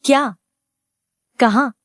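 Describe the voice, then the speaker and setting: A woman voicing a gentle, cautious, wise female goat in a cartoon moral story, earnest and polite. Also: high-pitched, very slow, dry recording, clean studio sound, heavily acted. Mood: polite, wary.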